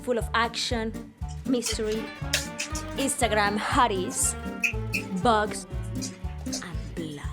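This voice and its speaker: A woman's voice: fairly high pitch, slow pace, long pauses. A woman with a Cuban accent narrating a comic movie trailer in a mock-grand announcer style. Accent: Cuban Spanish. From Cuba. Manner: mock-grand, over-the-top announcer delivery. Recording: music bed under the voice.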